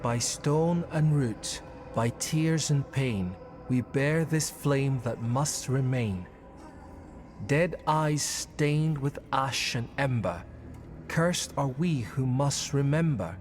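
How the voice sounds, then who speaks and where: An actor playing an earnest, studious young druid who sounds unsure of himself, in a video game. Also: male voice, mid-range voice, slow, a little mellow, faint music behind, natural voice. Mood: solemn, incantatory. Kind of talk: video game dialogue acting.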